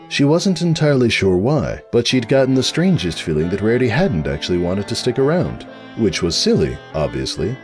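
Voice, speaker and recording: Animated man narrating a comic story over music. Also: sits low. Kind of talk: dramatic comic story reading.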